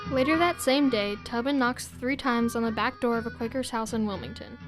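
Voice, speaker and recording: Higher-pitched female narrator over music. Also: a little fast. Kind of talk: scripted narration of school radio drama.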